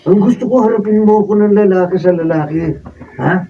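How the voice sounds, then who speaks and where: Actor as high-voiced belligerent Filipino rival. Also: male voice, a little fast, recorded from a distance, close to an everyday voice. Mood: angry. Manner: belligerent, goading. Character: aggressive, taunting young male rival. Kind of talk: Filipino radio drama acting. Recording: dull off-mic sound, faint noise.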